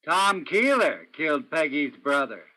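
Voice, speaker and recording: Guy acting world-weary noir detective, keeps pressing, highish bright voice, old radio play style. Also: sing-song, unhurried, dry recording, exaggerated voice, low-quality recording. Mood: accusing, insistent. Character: hard-boiled male detective, persistent and world-weary. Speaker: stage actor in a radio play. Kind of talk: old-time radio crime drama acting.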